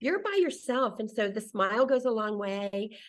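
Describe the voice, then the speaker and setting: A woman's voice: on the high side, expressive, moderate pace. A woman in her sixties, a native English speaker, tells stories casually as an interview guest, often interrupting herself and acting out quotes. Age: 60s. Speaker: interview guest, solo traveler. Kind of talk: casual conversational storytelling.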